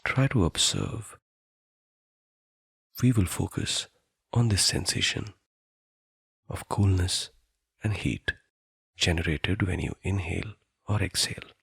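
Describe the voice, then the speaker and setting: A man guiding a meditation in a soothing, deliberate manner, leaving long pauses between phrases. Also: sits low, animated, vocal fry, fairly bright tone, breathy edge, no room sound, clean studio sound. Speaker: meditation guide. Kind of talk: guided meditation narration.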